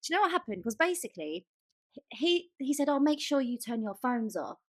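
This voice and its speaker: A woman with an English accent chatting casually as a podcast co-host, telling stories in an animated, chatty way and acting out quotes. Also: high-pitched, a little fast, no room sound, studio quality. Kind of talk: casual chat.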